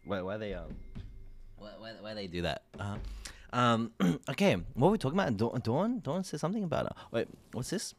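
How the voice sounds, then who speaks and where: A man's voice: lowish voice, expressive, a little fast. A young Australian man streaming from a small room into a desk mic, reacting casually to music videos with a lot of laughing and repeated, rambling words.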